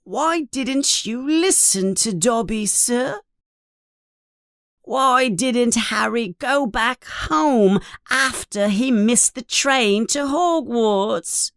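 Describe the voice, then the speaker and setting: A woman voicing a small, timid, tearful male house elf who talks in a squeaky, pleading, servile way. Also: lively intonation, extremely slow, a bit bright, no echo, clean studio sound, full character voice.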